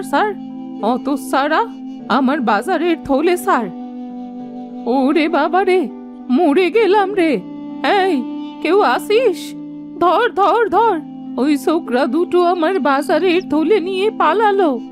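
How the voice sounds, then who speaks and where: An old cartoon granny with a high, plaintive voice. She scolds and laments at a slow pace, like a wronged villager. Loud music plays behind her. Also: expressive, exaggerated voice. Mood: wailing, distressed. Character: blind old village granny, wailing and aggrieved. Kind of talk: Bengali children's cartoon voice acting.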